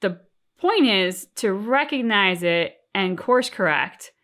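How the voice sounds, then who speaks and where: A woman co-hosting a reaction show, talking casually and thoughtfully, with deliberate, emphatic phrasing. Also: mid-range voice, expressive, a bit bright. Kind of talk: casual reflective discussion.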